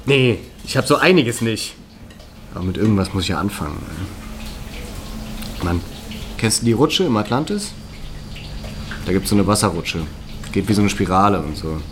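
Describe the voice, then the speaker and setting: A man's voice: fairly low pitch, big pitch swings, moderate pace, pauses often. An actor playing a cocky, down-on-his-luck young man who rambles in laddish slang in a naturalistic radio drama. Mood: rambling, evasive. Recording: noisy location ambience.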